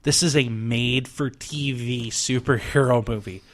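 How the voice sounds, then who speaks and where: Brief: young male podcast co-host, mid pitch, jokey, laughs a lot, animated. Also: measured pace. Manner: laughs often, animated and joking. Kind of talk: casual chat.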